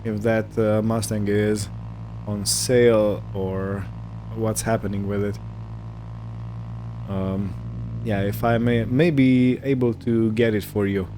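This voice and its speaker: A man giving casual roleplay gameplay commentary in a hesitant, rambling, unhurried manner. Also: lowish voice, flat delivery, mellow, a little hiss or hum, low-quality recording. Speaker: gaming video host.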